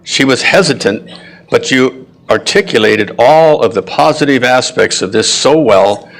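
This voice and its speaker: A man giving a prepared school ceremony address with personal anecdotes, speaking in a deliberate, proud and encouraging way. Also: mid-range voice, lively intonation, poor audio. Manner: frequent throat clearing.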